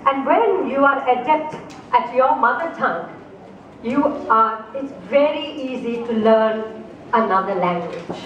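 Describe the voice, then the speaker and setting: Woman storyteller, high and unhurried, heard from afar in an echoey hall with noise around. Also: dull audio. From India. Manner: slow, expressive, asks the audience questions. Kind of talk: live storytelling to an audience. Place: festival opening ceremony stage. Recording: echoey hall, distant mic, background noise.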